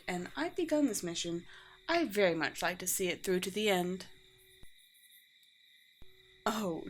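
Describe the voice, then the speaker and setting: A woman playing a courteous, teasing, world-weary vampire queen in a roleplay audio drama, with formal, old-fashioned and gently wry phrasing. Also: mid-range voice, lightly acted. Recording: clear background noise with ambience.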